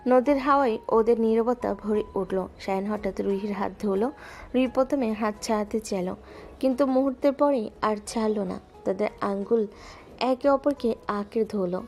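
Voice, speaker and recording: A woman's voice: mid-range voice, moderate pace, soft background music. Woman narrating a romance story. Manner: calm, even storytelling.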